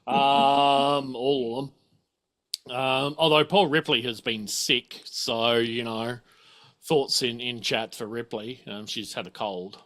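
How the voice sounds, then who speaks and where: A man's voice: mid-range voice, steady tone, takes it slowly, fairly bright tone. A man, chatting casually as a game master in a rambling, sweary way. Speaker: game master of a streamed tabletop RPG. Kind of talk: casual chat.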